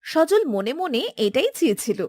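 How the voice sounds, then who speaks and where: High-voiced woman storyteller. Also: sing-song, normal speed, a little mellow, no room sound, studio quality. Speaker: narrator. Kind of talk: scripted fairy-tale narration.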